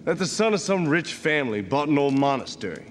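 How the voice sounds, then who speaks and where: A brash young male adventurer from a dramatic video game, with a lively, swooping, fairly high voice. He talks freely in wry banter and trips into stammers, over faint background ambience. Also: brisk, a little hiss or hum. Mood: wry, chatty.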